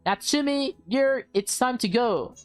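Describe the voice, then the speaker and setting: A woman's voice: sits high, animated, measured pace, no echo, faint music behind, voice pushed up. Woman doing sweet doting mom talking to her little kid, visual novel, dry mic. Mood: sweet, cheerful. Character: doting, cheerful young mother talking to her small daughter.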